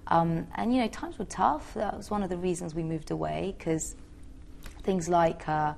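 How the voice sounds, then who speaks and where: Low, gravelly-voiced young woman. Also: moderate pace, a little mellow, slight background noise. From Georgia. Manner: chatty, hesitant with many fillers. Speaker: interviewee, singer. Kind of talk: casual interview answers.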